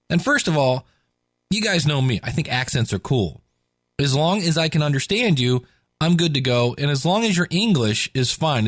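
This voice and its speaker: A man's voice: mid-range voice, dry recording, clean studio sound. A man hosting a podcast, who breaks in to talk to his listeners in a chatty, enthusiastic way. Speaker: podcast host. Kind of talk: casual podcast commentary.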